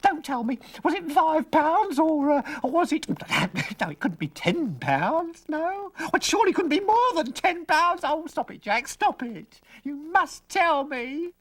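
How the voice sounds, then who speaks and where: A storyteller voicing an excitable farm mother, shrill and shrieking as she scolds her son. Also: a little slow, hardly any pauses, heavily acted. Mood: giddy.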